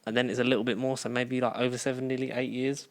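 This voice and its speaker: A man's voice: mid-range voice, level tone, fast. Male podcast co-host, mid-range voice, rambling casual delivery. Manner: rambling, lots of "like" and "um". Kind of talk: casual chat.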